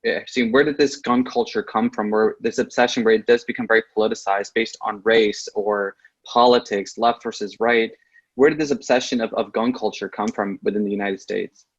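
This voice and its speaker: A man's voice: on the low side, fairly even intonation, a little fast, slightly rough, dull audio. A man hosting an interview, asking a long question hesitantly, with many fillers and hedges. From Pakistani family, raised in Saudi Arabia. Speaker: host.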